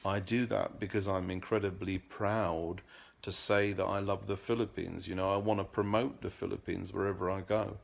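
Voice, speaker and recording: A man's voice: low voice, level tone, normal speed, vocal fry. A middle-aged man talking earnestly in a casual vlog monologue, often repeating words as he searches for the next one. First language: English. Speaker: vlogger.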